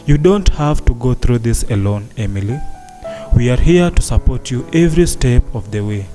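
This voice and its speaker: A man plays a concerned male doctor in a radio drama. His mid-pitched voice is dark and soft, and he talks in a measured, reassuring way with music underneath. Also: lively intonation, lightly acted.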